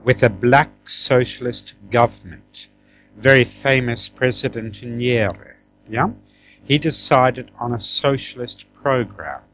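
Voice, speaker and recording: A man's voice: mid-range voice, takes it slowly, fairly bright tone, muffled recording, faint music behind. A man from Tanzania, middle-aged, talking conversationally as an interview guest, emphatic and often checking for agreement as he reminisces.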